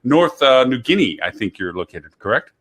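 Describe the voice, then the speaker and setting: A man hosting a live online show over a video call. His pitch swings widely and his delivery is animated. He keeps things loose and playful, humming to fill gaps while he introduces his guests. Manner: playful, chatty, fills pauses by humming. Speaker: show host.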